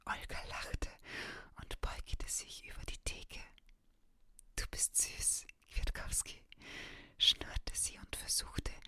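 A woman reading a first-person children's detective story aloud in a soft whisper, ASMR style. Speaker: reader.

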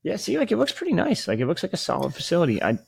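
A man's voice: high voice, wildly swooping pitch, rapid-fire, vocal fry, breathy edge, no echo. A man co-hosting a sports podcast, talking in a rambling, informal and opinionated way. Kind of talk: casual sports chat.

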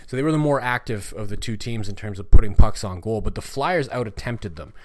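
A man's voice: fairly low pitch, expressive, a little fast, darkish tone. A man hosting a casual hockey recap podcast, rambling and swearing, while losing his voice. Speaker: podcast host.

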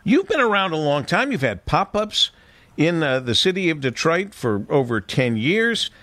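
Male radio host, animated pitch, dry close studio sound. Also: dry recording. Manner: measured, introducing the guest. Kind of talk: radio interview. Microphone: studio mic.

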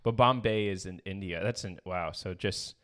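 A man with a low voice talks casually as a podcast co-host. He thinks aloud in an analytical, slightly hesitant way. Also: normal speed, fairly bright tone, smooth. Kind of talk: casual chat.

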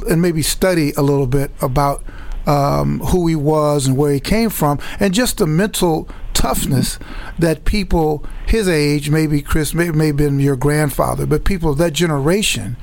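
Chicago guy hosting, gravelly voice, kinda high, stops and restarts a lot. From Chicago, South Side. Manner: halting, repeats words while thinking. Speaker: host. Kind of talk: reflective conversational interview.

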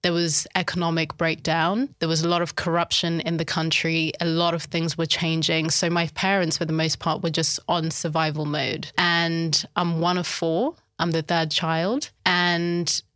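A woman from Zimbabwe, a life coach, talking in a thoughtful, unhurried way as an interview guest telling her story. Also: deep voice, flat delivery, fairly bright tone, no echo, clean studio sound. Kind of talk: reflective interview talk.

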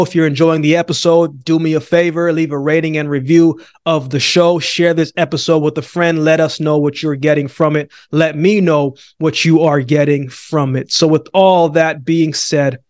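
A man born in Jamaica hosts a podcast, his voice sitting a little higher than most men's. He talks in an earnest, upbeat way, recorded dry and close with no room around him. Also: no echo. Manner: energetic, earnest, uses "man" often. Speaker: podcast host. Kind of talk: podcast interview.

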